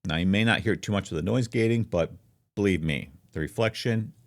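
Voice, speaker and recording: Man, very low voice, casual streamer explainer, informal, close dry microphone sound. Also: fairly quick, no room sound. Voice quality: deep voice. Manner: informal, explanatory, demonstrating as he goes. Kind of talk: casual explainer talk to camera. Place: treated room at home. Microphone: close foam-covered microphone, slightly offset from mouth.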